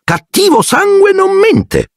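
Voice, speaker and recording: A drunken, bullying aunt with a shrill, soaring voice that swoops wildly as she sneers and jeers. Her tone is gravelly and husky. The sound is dry and close. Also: huge pitch swings, takes it slowly, no echo, heavily acted. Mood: scornful.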